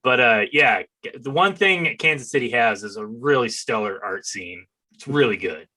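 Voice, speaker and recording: An American man with a bright, forward voice at an ordinary male pitch. He hosts a relaxed conversational interview in an animated, enthusiastic way. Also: normal speed. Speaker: podcast host and interviewer. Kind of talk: casual interview chat.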